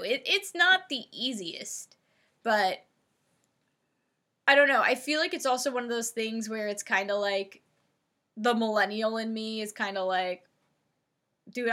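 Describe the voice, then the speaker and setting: A woman in her thirties chats on a podcast in a bright, clear voice, circling her points with plenty of "like" and "um". She talks loosely and casually, as if with friends. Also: fairly high pitch. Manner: rambling, filler-heavy, lots of "like". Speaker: podcast co-host.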